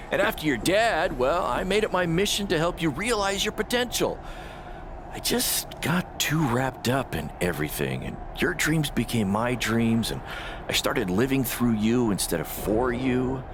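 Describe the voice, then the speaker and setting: A man's voice: medium pitch, background noise. A man playing an earnest, remorseful middle-aged wrestling mentor in a video game cutscene, speaking with sincere emphasis.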